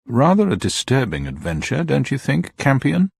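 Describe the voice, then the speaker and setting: A man voicing an elderly, querulous, fussy gentleman in a radio drama reading. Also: mid-range voice, wildly swooping pitch, normal speed, vocal fry, slightly rough, no room sound, studio quality, pitched above the performer's own voice, full character voice. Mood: querulous, conversational.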